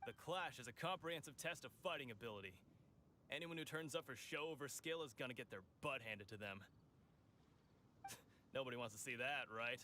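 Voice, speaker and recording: A man's voice: medium pitch, a little fast, bright, forceful tone, a little hiss or hum, natural voice. An actor playing a boastful, cocky male brawler who brags and chuckles at his own stories, in video game voice acting. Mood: confident.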